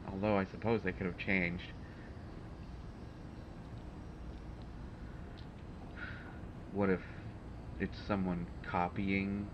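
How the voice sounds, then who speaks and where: A man's voice: fairly low pitch, muffled recording, background noise, close to an everyday voice. A man playing a haughty, prickly supervillain mastermind in an audio roleplay, speaking in formal, condescending, exasperated phrases. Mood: pondering. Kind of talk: audio roleplay acting addressed to the listener.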